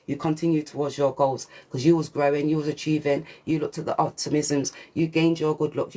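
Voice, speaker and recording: A woman with a deep voice giving a channelled spiritual reading, preachy and repetitive, with swearing and slang. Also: dark tone. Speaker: spiritual reader. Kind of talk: channelled collective reading monologue.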